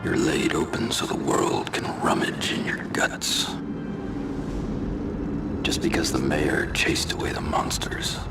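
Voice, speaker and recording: An actor playing a grim, middle-aged vigilante antihero in a video game, terse and deadpan in a gravelly hush. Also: male voice, very deep voice, slow, heavily acted. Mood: grim, brooding. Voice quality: gravelly, hushed. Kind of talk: noir video game cutscene acting and narration. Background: scary music.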